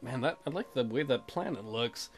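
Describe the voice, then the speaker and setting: A man's voice: mid-range voice, expressive, moderate pace. A man hosting a let's play, narrating and commenting on a game in a casual, irreverent, jokey way. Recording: music behind the voice.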